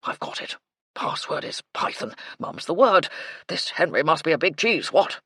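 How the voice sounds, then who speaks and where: A man's voice: fairly high pitch, sing-song, moderate pace, bright, forceful tone, husky, dry recording, clean studio sound, exaggerated voice. Woman putting on a blustery old British colonel. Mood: excited, conspiratorial. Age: older. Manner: clipped, blustery upper-class military speech, stammers when startled.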